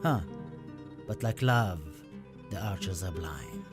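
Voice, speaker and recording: A man playing a haunted Spanish poet in a radio play, speaking in dramatic, deliberate, poetic phrases on a noisy recording. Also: low-pitched, lively intonation, over loud music. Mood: tender, elegiac. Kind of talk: radio play monologue with recited poetry.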